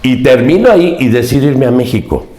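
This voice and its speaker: A man's voice: mid-range voice, lively intonation, a little fast, darkish tone, reverberant. A Mexican man in his seventies, a podcast guest recounting his life in an earnest, emphatic way and often repeating key phrases. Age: 70s. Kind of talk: reflective interview storytelling.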